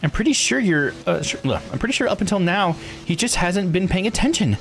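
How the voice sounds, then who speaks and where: Visual novel youngster, man performing: high-pitched, earnest and emotive, lively swooping delivery, music underneath. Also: brisk, heavily acted. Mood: earnest encouragement. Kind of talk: visual novel dialogue read with expression.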